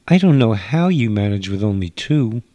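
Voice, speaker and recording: A man's voice: fairly low pitch, very animated, normal speed, mellow, no room sound. A man voicing a courteous, worried alien mother who speaks formally and pleadingly in a radio sci-fi story. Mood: earnest. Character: polite, worried alien mother with four arms.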